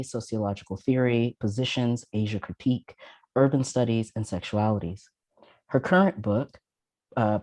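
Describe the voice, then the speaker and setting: A man introduces a panel, reading each speaker's biography from prepared notes. His voice is mellow and unforced, and the recording is dry and close. He pauses now and then on a filler sound. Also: mid-range voice, no room sound. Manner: measured, reads from notes with some filler "uh"s. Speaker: event host introducing panelists.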